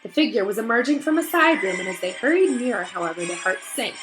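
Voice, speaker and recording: A woman teacher speaking to children in an encouraging, affectionate way, in the expressive style of a storybook read-aloud. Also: fairly high pitch, fast, music in the background. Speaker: teacher reading aloud to pupils.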